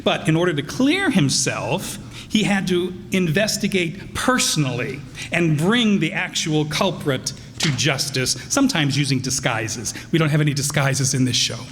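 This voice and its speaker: A male host introduces a radio play with folksy asides. His voice is a bit high and very animated, carried through a reverberant hall with faint noise. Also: moderate pace. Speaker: announcer and host.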